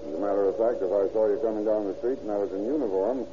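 An actor in an old radio crime drama playing a steady, shrewd police chief who goes about as a hobo. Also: male voice, on the low side, very fast, natural voice. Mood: wry. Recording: dull, hissy old radio recording.

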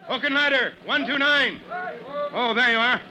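An actor playing a gruff, commanding fire chief who gives brisk, clipped orders in old-time radio drama style. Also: male voice, extremely high pitch, crowd noise. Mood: urgent shouting. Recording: dull old radio recording, faint noise.

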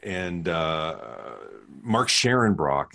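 A middle-aged man with a deep voice, hosting a casual interview in a chatty, self-deprecating way. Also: animated, drawn-out words, sharp tone. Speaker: podcast host.

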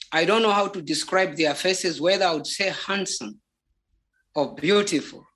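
Slow, deliberate testimony in a Zambian accent. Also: medium pitch, a bit bright. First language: Bemba. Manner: halting, deliberate, repeats words for emphasis. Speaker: religious testimony speaker. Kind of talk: spoken personal testimony.

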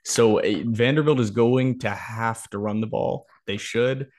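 A man's voice: mid-range voice, a little slow, darkish tone. A man hosting a sports podcast, talking through a football preview in an opinionated, emphatic way. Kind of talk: football preview analysis, conversational.

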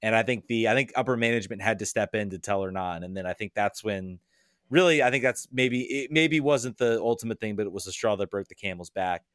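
A man guesting on a podcast, his voice sitting a little low. He talks in a loose, halting way and doubles back on words as he works out his point. The sound is dry, with no room around it. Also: a bit bright, dry recording. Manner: hesitant, repeats words while thinking aloud. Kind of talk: casual chat.

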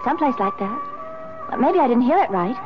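An actress playing an easygoing, adoring young sweetheart in a 1970s radio drama, answering playfully and briefly. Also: female voice, a little high, animated, fairly quick, music in the background, lightly acted. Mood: relaxed. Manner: playful, brief casual replies. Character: Peggy, easygoing, adoring woman game for adventure. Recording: muffled vintage radio broadcast.

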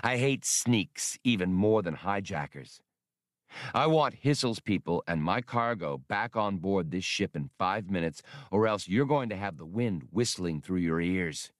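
A cocky star pilot in a space adventure audiobook, acted by a male reader. He talks with dry, casual bite and lively swings of pitch, needling whoever he faces. The sound is close and dry. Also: unhurried, no room sound. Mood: threatening.

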